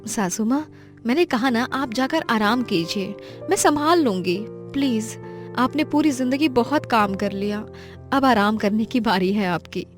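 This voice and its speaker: A woman's voice: a little high, unhurried, soft background music, lightly acted. A woman voicing a dutiful, brave young daughter-in-law in a melodramatic cartoon, earnest and emphatic. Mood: caring, insistent.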